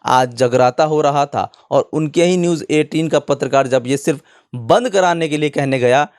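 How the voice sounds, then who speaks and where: A man's voice: on the high side, normal speed, no echo. Indignant man presenting news commentary, dry sound. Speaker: news presenter for an online channel. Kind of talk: opinionated news commentary narration.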